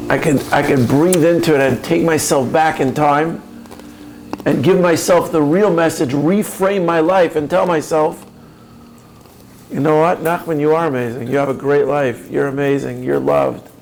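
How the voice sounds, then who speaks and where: Earnest gravelly man talking straight to you. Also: fairly high pitch, steady tone, moderate pace, darkish tone, a little hiss or hum, low-quality recording. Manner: earnest, probing, speaks to listener directly. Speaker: speaker on personal growth. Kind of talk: reflective coaching talk.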